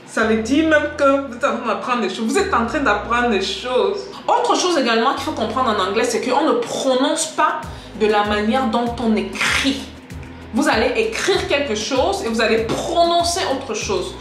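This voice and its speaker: Woman, teacher, lively and direct, fairly high voice, echoey room, faint music behind. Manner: lively, direct, addresses viewers as "les gars"; switches into English example sentences. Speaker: English teacher on a video channel. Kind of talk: casual spoken lesson to camera.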